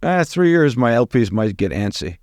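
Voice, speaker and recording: A man hosting a podcast interview, asking questions in a relaxed, friendly way. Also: mid-range voice, normal speed, dry recording. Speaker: podcast host, investor. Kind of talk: casual interview talk.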